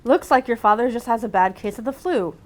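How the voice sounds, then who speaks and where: A woman's voice: fairly high pitch, brisk, close to an everyday voice. A woman reading a story in the part of an impatient, overworked female doctor, curt and exasperated. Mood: brisk.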